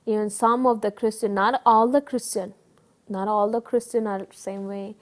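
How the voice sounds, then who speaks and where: A woman's voice: mid-range voice, fairly even intonation, normal speed. Pakistani woman, earnest and hesitant. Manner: earnest, hesitant, many fillers and restarts. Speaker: guest telling her story. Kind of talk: personal testimony.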